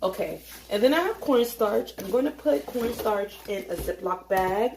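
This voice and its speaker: A woman's voice: medium pitch, animated, normal speed, vocal fry, darkish tone, a little hiss or hum. Chatty woman cooking in an echoey kitchen. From Haitian family. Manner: chatty, joking, swears casually, sings snatches. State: tired, late at night. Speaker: home cook vlogger. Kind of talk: casual cooking vlog chat. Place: home kitchen.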